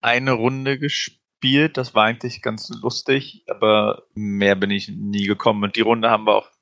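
A man as a podcast guest, relaxed and wry as he tells stories. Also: lowish voice, moderate pace, gravelly, no room sound. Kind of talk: casual chat.

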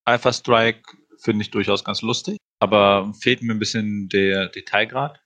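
A man as a podcast guest, relaxed and wry as he tells stories. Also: lowish voice, moderate pace, no echo. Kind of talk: casual chat.

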